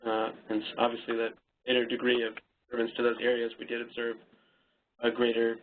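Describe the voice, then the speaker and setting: Brief: male co-presenter, monotone, hesitant, tinny phone audio. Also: medium pitch. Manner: halting, many fillers. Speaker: webinar co-presenter. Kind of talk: unscripted Q&A answer. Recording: tinny phone-line audio, faint hiss.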